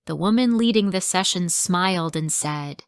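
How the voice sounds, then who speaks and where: A woman reading a simple first-person story for English learners, in slow, clearly spoken sentences. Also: on the low side, no echo, clean studio sound.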